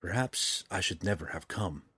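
A melancholy young dark elf played by a male audiobook narrator. He is quiet, guarded and subdued. His voice is low and husky, in a dry recording. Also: measured pace, dry recording, exaggerated voice. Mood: regretful. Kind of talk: audiobook character voice.

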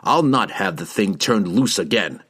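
A man's voice: lowish voice, lively intonation, a little slow, a bit bright, husky, exaggerated voice. A man voicing an impatient, stern knight who talks curtly. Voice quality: curt, snapping.

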